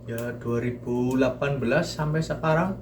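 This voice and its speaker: A young man from Cilacap with a Banyumasan ngapak accent, hosting a casual group Q&A and chatting in a relaxed way. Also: medium pitch, normal speed, a little hiss or hum. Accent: Banyumasan Javanese (ngapak). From Cilacap, Central Java. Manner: relaxed, chatty, mixes dialect into Indonesian. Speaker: vlogger and host.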